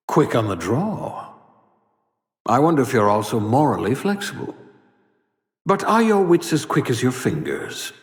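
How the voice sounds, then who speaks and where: An actor playing an eccentric older fugitive scientist in a video game, wry and condescending, muttering theatrically to himself. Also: male voice, medium pitch, roomy sound, big-room sound. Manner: muttering to himself, dryly sardonic, swooping emphasis.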